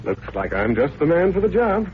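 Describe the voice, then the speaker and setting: An actor playing a cynical, hard-drinking newspaper columnist, wry and needling, in 1940s radio drama style. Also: male voice, fairly high pitch, big pitch swings, moderate pace. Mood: wry, playful. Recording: tinny, hissy old radio recording.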